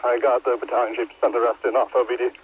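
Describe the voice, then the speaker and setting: A man's voice: mid-range voice, little pitch movement, very fast, narrow, tinny audio. A man working as a police officer, speaking in clipped, businesslike radio traffic over a scanner.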